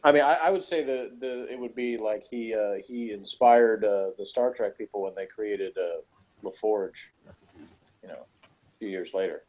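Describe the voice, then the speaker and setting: A man's voice: lowish voice, moderate pace, a little mellow. A man in casual conversation, talking in an offhand, sardonic way. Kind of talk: casual chat. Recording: dull lo-fi recording.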